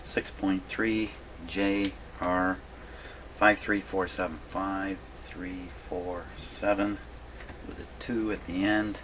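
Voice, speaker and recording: Low-voiced older man, thinking aloud, noisy recording. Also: flat delivery, slow, fairly bright tone. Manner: rambling, self-deprecating, folksy. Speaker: vintage radio repair hobbyist presenting a video. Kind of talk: casual workshop demonstration, thinking aloud. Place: indoor radio repair workshop.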